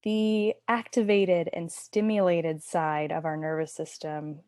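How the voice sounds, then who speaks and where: Woman, yoga nidra teacher, slightly low pitch, very dry close sound, soothing guided relaxation, gently instructive. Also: level tone, unhurried, no room sound.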